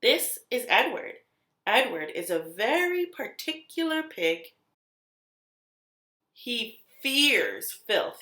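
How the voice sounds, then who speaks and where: A woman storyteller narrates a children's picture book in a roomy, echoing space. Her voice is bright and swoops expressively up and down. She puts deliberate, dramatic weight on key words. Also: a little high. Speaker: children's read-aloud storyteller.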